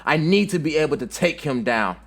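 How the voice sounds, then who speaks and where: A man's voice: sits low, unhurried. A man voicing a hot-headed, bitter young ninja rival in an anime-style story, intense and emphatic. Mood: furious.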